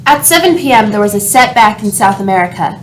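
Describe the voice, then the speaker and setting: A woman's voice: mid-range voice, animated, fast talker, a little hiss or hum, natural voice. A woman reading scripted, dramatic narration as a storyteller in a radio play.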